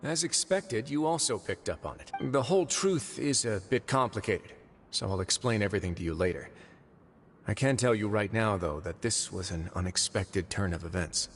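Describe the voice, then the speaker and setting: An actor playing a composed, calculating adult male prison warden in a video game, speaking calmly with quiet wryness. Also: fairly low pitch, slight background noise. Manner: calm, measured, quietly wry. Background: faint game music.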